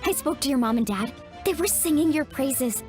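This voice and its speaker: A woman's voice: high-pitched, expressive, moderate pace, darkish tone, soft background music. A woman playing a friendly, upbeat young tribeswoman in a video game, with a breezy, casual way of talking. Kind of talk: scripted video game voice acting.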